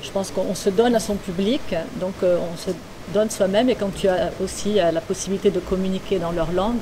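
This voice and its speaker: A woman's voice: medium pitch, fairly even intonation, brisk. A woman from Monaco, bilingual in Italian and French since birth, hosting an interview in an earnest and reflective way. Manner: earnest, reflective, laughs easily. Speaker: host, dancer. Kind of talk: casual interview conversation. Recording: noisy background.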